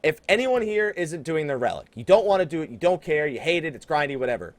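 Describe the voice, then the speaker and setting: A man with a slightly high, very expressive voice hosts a casual gaming podcast. He banters and teases in a lively, conversational style, recorded close and dry with no room sound. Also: fast, no echo. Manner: animated, teasing banter with co-host. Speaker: podcast host.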